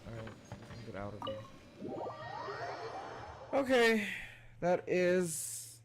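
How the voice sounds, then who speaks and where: A man giving loose, casual playthrough commentary as a game streamer, thinking aloud as he goes. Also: mid-range voice. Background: game music.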